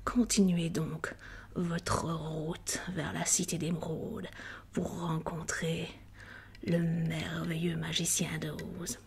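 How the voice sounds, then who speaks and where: A woman playing a wicked witch in an ASMR film, whispering with hushed, gloating menace. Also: deep voice, flat delivery, slow, slight background noise. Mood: sly. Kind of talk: French ASMR roleplay film.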